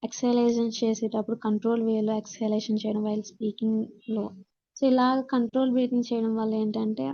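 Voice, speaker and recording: A woman's voice: fairly high pitch, level tone, a little fast, mellow, lo-fi sound. A woman with a Telugu accent giving a lesson as an instructor, reading out points and then explaining them with repetition. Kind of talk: slide-based lesson, explaining in Telugu and English.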